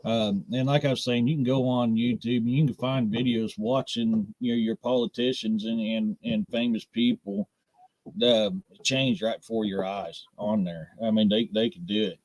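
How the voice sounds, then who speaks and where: A man's voice: lowish voice, fairly even intonation, normal speed, darkish tone, no echo, low-quality recording. An American man in middle age chatting casually on a podcast, with a blunt, direct, folksy manner. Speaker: podcast co-host. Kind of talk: casual live podcast chat.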